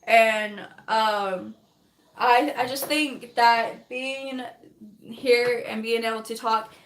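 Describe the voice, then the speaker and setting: A young woman, chatting on a phone livestream while doing her makeup, rambling fast, laughing often and losing her voice. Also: on the high side, steady tone, echoey room, low-quality recording. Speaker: livestreaming vlogger.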